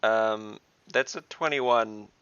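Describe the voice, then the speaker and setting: A man's voice: fairly low pitch, slow, fairly bright tone, clean tone, dry recording. A man chatting casually as a player in an online tabletop game, on slightly dull, dry audio.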